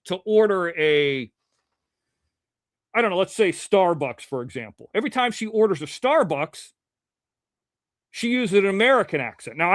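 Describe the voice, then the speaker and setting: A man from Ohio hosting a live show, chatting casually and reading the chat, rambling and swearing now and then in clean, crisp diction. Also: sits high, a bit bright, dry recording, clean studio sound.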